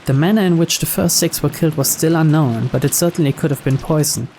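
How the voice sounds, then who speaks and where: A man reading scripted visual novel narration aloud in a measured storyteller's manner. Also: fairly high pitch, darkish tone, a little hiss or hum.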